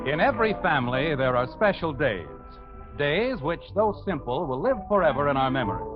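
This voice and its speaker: A man's voice: a little high, very animated, fairly quick. A man with an American accent, announcing and narrating in scripted 1950s radio style. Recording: muffled old radio recording. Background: music.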